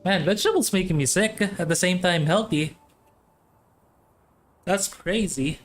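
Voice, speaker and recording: A young adult game streamer with a mid-range voice talks slowly and loosely. The style is casual, playful livestream chatter that rambles along. Faint music sits behind the voice. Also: mid-range voice. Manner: rambling, playful, singsong exclamations. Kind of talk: casual livestream chat while playing. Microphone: streaming microphone.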